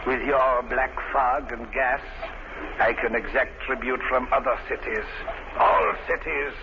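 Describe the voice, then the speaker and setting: A man's voice: medium pitch, steady tone, unhurried, natural voice. Man acting a cold, grandiose radio crime villain. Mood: gloating menace. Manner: menacing, formal, grandiose villain delivery. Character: megalomaniac male criminal mastermind, cold and merciless. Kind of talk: old time radio crime drama acting. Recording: noisy, muffled vintage radio recording.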